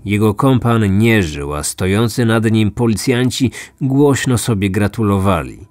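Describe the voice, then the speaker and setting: A man narrating a scripted true crime story as host, in a measured, serious manner. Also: on the low side, expressive, darkish tone, no room sound, studio quality. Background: music.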